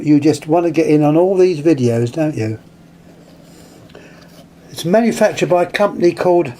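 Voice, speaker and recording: British man, unhurried halting demonstrator, slightly higher-set voice, informal show-and-tell on a camera mic. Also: dark tone. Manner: halting, pausing mid-phrase while handling things. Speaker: vintage radio collector presenting an item. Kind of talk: casual hands-on demonstration. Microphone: handheld camera, close to the item.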